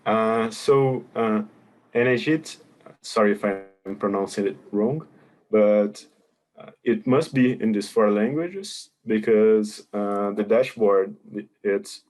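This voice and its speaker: Low-voiced man explaining rules patiently. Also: a little mellow, rough recording. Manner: patient, explanatory, hesitant with many fillers. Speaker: webinar presenter answering questions.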